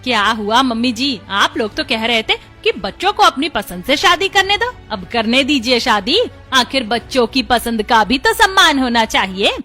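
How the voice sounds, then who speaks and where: A woman voices a young sister-in-law in a family cartoon. Her tongue is sharp and teasing, her pitch a bit high. Light music plays behind. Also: very animated, moderate pace, few pauses, exaggerated voice. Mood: mocking. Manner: teasing, chiding. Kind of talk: Hindi family cartoon dubbing.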